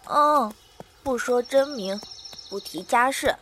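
A woman's voice: on the high side, takes it slowly, fairly bright tone. A woman playing a shy, obedient small boy in a Chinese costume radio drama, childlike and polite. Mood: timid, obedient. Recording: faint background noise, outdoor ambience.

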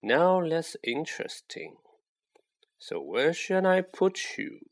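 A man reading a storybook aloud, voicing a sly old talking magic hat that muses slowly over its choice. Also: on the high side, big pitch swings, voice pushed up, exaggerated voice. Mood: musing. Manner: slow, drawn-out musing. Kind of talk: audiobook character voice.

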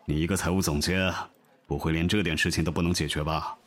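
A man's voice: sits low, steady tone, fast talker, fairly bright tone. A man acting an officious general manager with a bossy, managerial way of talking in a modern audio drama. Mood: bossy, impatient. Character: officious male general manager, bossy yet easily placated.

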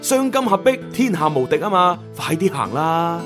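Confident protective special agent, animated intonation, sci-fi radio drama, light music underneath. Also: male voice, on the high side, moderate pace. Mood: playful, eager. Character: confident young male time-travelling special agent, protective. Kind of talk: Cantonese radio drama acting.

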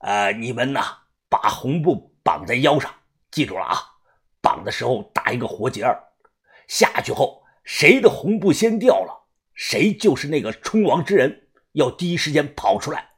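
A man voicing a grave, cautious old fortune-telling master in an audio story, with drawn-out, sighing speech. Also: mid-range voice, sing-song, breathy edge. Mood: grave, low.

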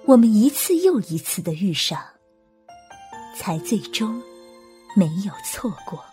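一位女旁白，缓慢地念着抒情的旁白。. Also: female voice, medium pitch, sing-song, a little slow, mellow, soft background music. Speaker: narrator. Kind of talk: scripted reflective narration.

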